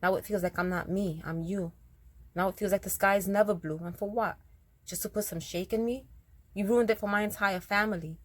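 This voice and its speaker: A woman with a naturally raspy voice, a little rougher than usual, speaking earnestly to her listeners and reciting her own spoken word poem. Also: medium pitch, steady tone, fairly quick, no echo. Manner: earnest, direct, addresses listeners as y'all. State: raspier than usual, throat slightly rough.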